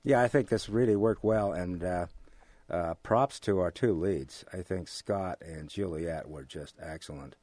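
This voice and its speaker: An American man being interviewed, speaking in a halting, measured way with formal, academic wording. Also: low voice, a little mellow, no echo. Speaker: interview guest, amateur radio drama actor. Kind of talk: relaxed interview conversation.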